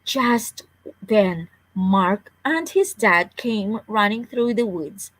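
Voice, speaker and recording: A woman's voice: mid-range voice, lively intonation, slow pace, a bit bright, poor audio. A woman reading a children's story aloud as a storyteller, with careful delivery and the odd stumble over words.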